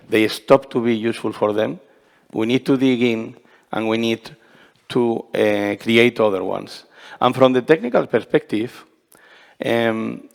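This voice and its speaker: A man's voice: medium pitch, gravelly. A man answering on a conference panel, carefully searching for his words. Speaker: panel speaker. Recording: long reverb of a large hall.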